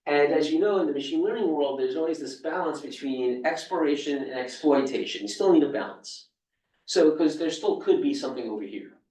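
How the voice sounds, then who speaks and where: A man's voice: on the high side, darkish tone. New York man lecturing in an echoey room. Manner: loose and conversational, with many fillers and restarts. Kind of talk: academic seminar talk. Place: seminar room. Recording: echoey room, speaker far from the mic.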